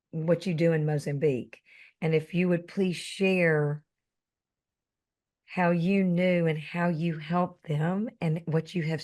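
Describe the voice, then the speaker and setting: A woman host with a low voice who speaks slowly and deliberately. Her phrasing is earnest and appreciative as she leads the interview. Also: a little mellow. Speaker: podcast host. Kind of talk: interview questions.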